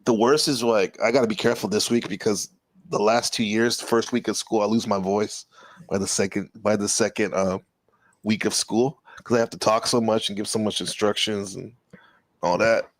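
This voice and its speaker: A man's voice: fairly low pitch, steady tone, normal speed, no echo, low-quality recording. A man chatting casually on a live stream, laid-back and rambling, often saying bro and man. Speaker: streamer, teacher. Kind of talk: casual chat.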